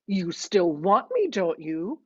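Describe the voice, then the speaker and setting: A woman's voice: fairly low pitch, big pitch swings, slow, breathy edge, highs cut off, exaggerated voice. A woman narrator voicing a breezy, self-absorbed eighty-year-old mother with a theatrical, insistent manner in an audiobook story. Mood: needy, insistent.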